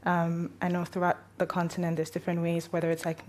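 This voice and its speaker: A woman's voice: fairly low pitch, monotone, quick pace, darkish tone. A woman on a live panel, speaking thoughtfully and searching for words as she reflects.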